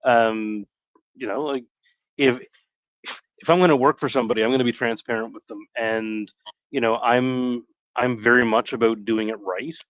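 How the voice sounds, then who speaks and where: A man chatting casually on a live stream in a halting, rambling way, often correcting himself. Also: medium pitch, fairly even intonation, no room sound, dull audio.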